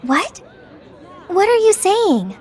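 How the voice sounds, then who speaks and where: Hot-headed loyal young heroine, bright high voice with a lot of movement. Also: female voice, big pitch swings, slow pace, some chatter behind, exaggerated voice. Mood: indignant. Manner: earnest, indignant. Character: spirited young woman, loyal and hot-tempered. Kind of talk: anime game cutscene acting.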